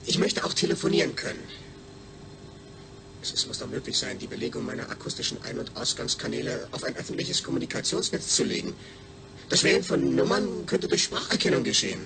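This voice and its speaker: A man's voice: medium pitch, very animated, brisk, vocal fry, background noise. A man playing an eager, needy astronaut's brain speaking emphatically through a speech synthesizer in a radio drama. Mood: anxious, pleading. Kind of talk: German radio drama acting.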